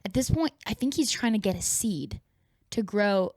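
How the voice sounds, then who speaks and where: A woman's voice: medium pitch, expressive, normal speed, darkish tone, no echo, studio quality. A woman co-hosting a podcast in a casual brainstorming chat, slipping into imagined dialogue as she explains ideas. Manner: conversational, acts out imagined dialogue. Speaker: podcast co-host.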